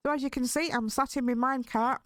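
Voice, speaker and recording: A woman with a slightly hoarse voice, chatting cheerfully and thinking aloud as she hosts a casual game playthrough. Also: high-pitched, steady tone, fast, soft background music. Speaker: gaming video host. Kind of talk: casual let's-play commentary.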